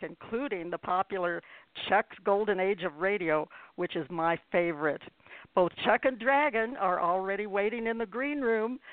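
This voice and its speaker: Female host, mid pitch, deliberate halting phrasing, conversational, dry telephone-band audio. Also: no room sound. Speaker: radio show host. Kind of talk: conversational radio hosting. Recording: phone-like narrow-band audio.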